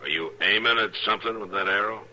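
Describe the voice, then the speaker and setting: An actor playing a calm, dry-humored frontier marshal in a 1950s radio western. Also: male voice, on the low side, fast talker. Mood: laconic. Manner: dry, even, laconic. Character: calm steady frontier lawman. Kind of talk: 1950s radio drama acting.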